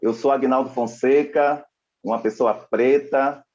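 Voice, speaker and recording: A young man from Salvador, giving a formal, measured introduction as panel moderator, with pauses and restarts. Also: mid-range voice, fairly even intonation, darkish tone, dull audio. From Salvador, Bahia, Brazil.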